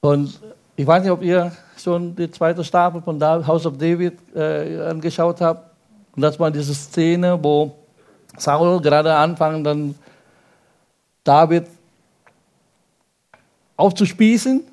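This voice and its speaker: A male pastor preaching in a language that is not his first, peppering his sermon with rhetorical questions and quick checks to the congregation. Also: fairly high pitch, fairly even intonation, darkish tone. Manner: speaks German as a second language.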